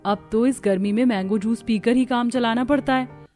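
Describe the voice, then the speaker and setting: Brief: woman, slightly high voice, lively cartoon dubbing, soft music behind. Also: moderate pace. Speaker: narrator and voice actor. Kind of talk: cartoon story narration and dubbing.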